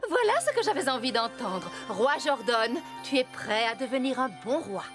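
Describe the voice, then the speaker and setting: A woman's voice: on the high side, very animated, moderate pace, music in the background. A woman playing a cheerful but firm fountain fairy in a children's cartoon, theatrical and commanding. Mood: gleeful, approving. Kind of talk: animated children's cartoon dialogue.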